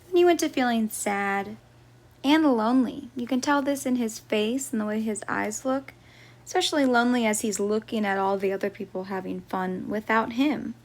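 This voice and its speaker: Woman reading a children's story, higher-pitched. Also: normal speed. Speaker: storyteller, teacher. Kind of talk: children's story read aloud.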